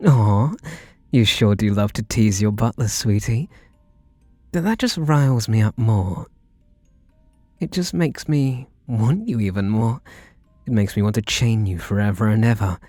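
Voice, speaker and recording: A man's voice: fairly low pitch, lively intonation, unhurried, long pauses, warm tone, no room sound, faint music behind. A man voicing a teasing, obsessively devoted butler in an intimate ASMR roleplay, full of sugary endearments. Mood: possessive, riled up.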